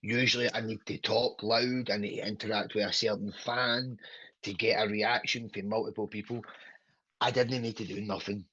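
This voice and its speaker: A low-voiced, husky man in his thirties with a strong Glaswegian accent talks as a guest on a podcast. He rambles and swears casually, and he keeps asking whether his listener follows him. The audio sounds dull and lo-fi, with a dry, close feel. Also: fairly even intonation, moderate pace, pushed and bright, no room sound. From Scotland. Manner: rambling, sweary, keeps asking "do you know what I mean". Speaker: pro wrestler guest.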